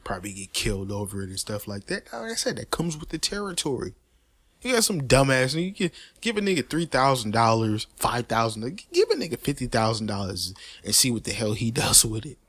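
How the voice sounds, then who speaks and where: A man talks through a long, heated opinion rant at an average pitch. He repeats and restarts words as he pushes his points and leans on street slang and swearing. The recording is dry and close, with no room sound. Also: brisk, breathy edge, no echo. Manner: animated, emphatic and repetitive, with frequent restarts and stutters. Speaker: host of a personal commentary channel.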